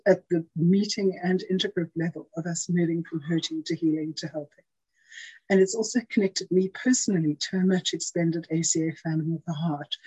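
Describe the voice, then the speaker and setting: A woman's voice: lowish voice, a little mellow, slightly rough, poor audio. A woman giving an earnest, prepared service talk over a video call. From South Africa. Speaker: outgoing intergroup chair giving a service talk.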